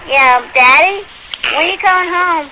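A high, plaintive little girl's voice, put on by a prank caller. She keeps asking the same thing over and over. The call sounds noisy and a little distant. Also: unhurried. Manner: plaintive, repeating herself. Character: whiny little girl looking for daddy. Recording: noisy phone line.

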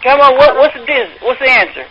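High-voiced pushy prank caller on the phone. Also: male voice, brisk. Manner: pushy, badgering and mock-indignant. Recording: muffled, noisy phone line.

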